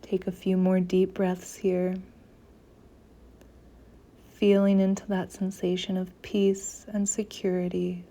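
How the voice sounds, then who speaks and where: A woman leading a guided meditation in a soft, gentle, soothing voice, with phrases often trailing into near-whispers. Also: lowish voice, completely flat delivery, takes it slowly, long pauses.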